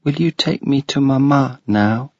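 Man putting on a high, tearful little ghost girl, begging, storybook reading, dull lo-fi sound. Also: unhurried, a little mellow, husky, dry recording. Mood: plaintive. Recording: dull lo-fi recording.

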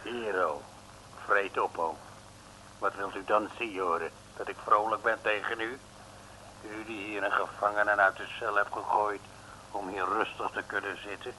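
An actor playing a sarcastic, curt prison guard in an old comic radio play. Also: male voice, lowish voice, flat delivery, a little slow, sharp tone. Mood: snappish. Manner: curt, mock-polite, threatening. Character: gruff sarcastic Italian male prison guard. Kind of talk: 1950s-60s comic radio play acting. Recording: noisy old radio recording.

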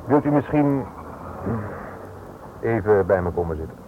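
A man's voice: lowish voice, animated, unhurried. An actor playing a polite but probing policeman, a man of few words, in a Dutch radio drama. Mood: polite, insistent. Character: policeman questioning a man from his car. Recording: tinny, noisy old radio recording.

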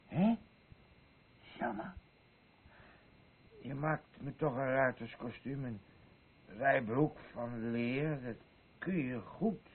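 A man acting a boisterous, rambling alcoholic husband in a Dutch radio play, halting and swinging between elation and weariness. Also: medium pitch, lively intonation, phone-quality sound, slight background noise. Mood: gruff, goading.

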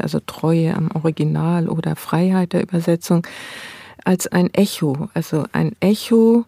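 Female scholar as interview guest, low soft-edged voice, reflective and explanatory, very dry close sound. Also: dry recording. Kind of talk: academic interview, explaining ideas.

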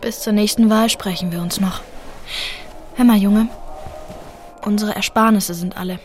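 A woman's voice: mid-range voice, steady tone, moderate pace, soft background music, natural voice. A woman playing a devoted, earnest young working-class wife in a German radio drama, speaking plainly and intimately. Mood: earnest, firm.

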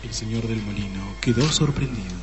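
A man's voice: fairly low pitch, a little fast, soft background music. A man reading scripted narration for a radio play.

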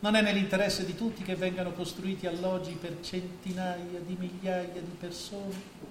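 A man's voice: high-pitched, level tone, moderate pace, natural voice. An actor playing a scheming middle-aged stepfather and financier, sarcastic and grandiose, in a theatrical oratorical delivery. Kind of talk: staged radio drama acting in Italian. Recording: echoey stage recording with faint noise.

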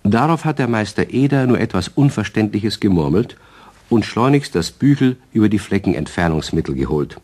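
A man's voice: lowish voice, very animated, normal speed, a little mellow, husky. A man narrating a scripted children's radio story.

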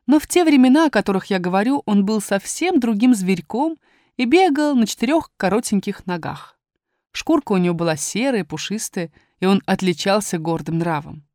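A woman narrating a children's story expressively, with a dramatic and deliberate delivery. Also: medium pitch, darkish tone, no room sound, clean studio sound. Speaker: audiobook narrator.

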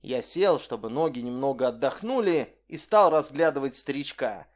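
A man reading an audiobook narration in a calm, even voice. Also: medium pitch, normal speed. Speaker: audiobook reader.

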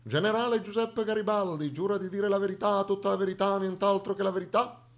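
Stern male judge, put on by a stage actor, formal and commanding, voice pitched well up. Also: steady tone, brisk. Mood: solemn. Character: stern, loud presiding judge in a dream courtroom.

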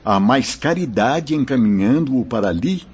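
An actor playing a firm, paternal, charitable spirit mentor in a radio soap, solemn and formal. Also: male voice, medium pitch, expressive, normal speed, darkish tone, breathy edge. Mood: grave, paternal. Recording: dull lo-fi radio drama recording.

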